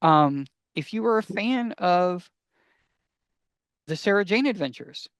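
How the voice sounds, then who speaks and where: A man hosting a casual fan podcast, talking enthusiastically and sometimes haltingly as he gives recommendations. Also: high voice, animated, clear, resonant tone, no room sound. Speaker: podcast host.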